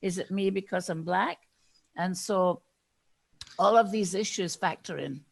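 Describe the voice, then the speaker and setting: A woman with a Scottish accent, a guest expert in an interview, explaining ideas in a measured way and acting out the inner questions of her examples. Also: fairly low pitch, creaky. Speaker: guest expert on diversity and inclusion.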